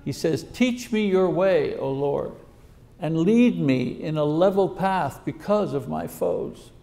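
A man in his sixties preaching a sermon at a podium, reading scripture and acting out prayers in a measured teaching manner. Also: on the high side, gravelly, dark tone. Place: lecture hall at a podium. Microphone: podium microphone.

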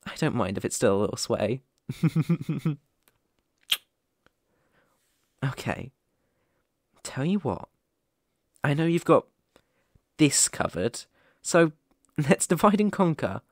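A man's voice: fairly high pitch, sing-song, slow, pauses often, no room sound, full character voice. A young man playing a caring, playful boyfriend looking after his sick partner in an intimate, soothing ASMR roleplay, close to the microphone. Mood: tender, upbeat. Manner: gentle, soothing, affectionate, chuckling often. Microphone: close microphone, dry.

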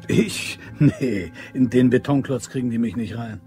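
Cocky, laconic man over clear music male actor. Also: mid-range voice, measured pace, warm tone, lightly acted. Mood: dry, casual. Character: cocky, laconic small-shop locksmith and card hustler. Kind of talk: German crime radio drama acting.

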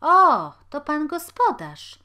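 A woman voicing a harried poor mother who scolds loudly and pleads tearfully. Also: a little high, expressive, measured pace, voice pushed up. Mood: pleading.

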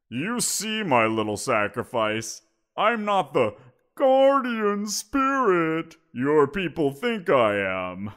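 A man's voice: a little high, a bit bright, heavily acted. A man voicing a smug giant wolf creature. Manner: teasing, amused, with chuckles. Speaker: voice actor. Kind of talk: audio roleplay monologue.

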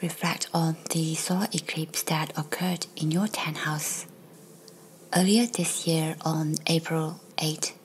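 A woman reading a scripted guided meditation in a soft, breathy, near-whispered voice, gentle and measured with long pauses. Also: sits low, fairly even intonation, slight background noise, low-quality recording. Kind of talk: slow, soothing guided meditation, scripted narration. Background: faint music.

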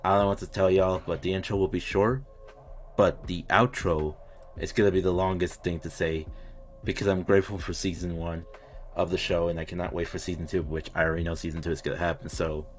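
Young man, very deep voice, excitable, swears, reaction host, webcam, home room. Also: brisk, soft background music. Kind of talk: casual chat.

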